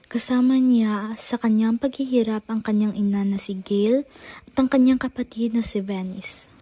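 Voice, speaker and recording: A woman's voice: on the high side, steady tone, moderate pace, warm tone. A woman announcer reading a scripted introduction and narration for a radio drama. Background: music.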